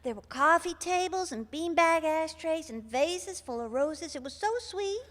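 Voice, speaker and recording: Woman playing a high-voiced, chatty older lady caller. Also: expressive, measured pace, fairly bright tone, heavily acted. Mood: delighted, wondering. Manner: rambling, homespun storytelling. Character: chatty, sweet, folksy older woman radio caller, unfazed. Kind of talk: comic live radio theater acting. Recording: reverberant hall, live stage performance.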